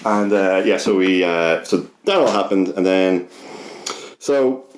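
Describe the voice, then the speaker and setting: A man's voice: deep voice, measured pace, echoey room, slight background noise. A young Irish man telling a personal story casually as a podcast guest, sweary, rambling and often interrupting himself.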